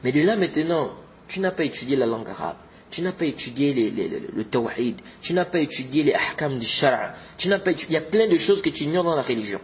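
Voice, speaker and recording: A man's voice: fairly high pitch, big pitch swings, fast, a little hiss or hum. A man giving an informal religious lesson, exhorting his listeners and often repeating his points with Arabic religious terms mixed in. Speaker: religious teacher.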